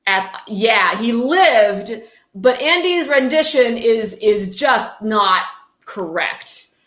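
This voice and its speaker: A woman's voice: a little high, lively intonation, slow, a bit bright. A woman hosting a casual livestream reading, adding wry, sarcastic and blunt commentary as she goes. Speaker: host reading a book aloud with commentary. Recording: roomy, echoey sound.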